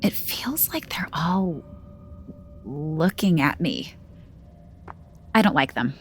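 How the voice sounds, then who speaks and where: A woman's voice: low-pitched, expressive, slow pace, a little hiss or hum. An actress playing an outspoken, wry noblewoman with a sardonic, challenging way of talking in an audio drama. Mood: cool, wary. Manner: sardonic, challenging, casual modern phrasing. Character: outspoken, wry noblewoman, sharp-tongued and stubborn.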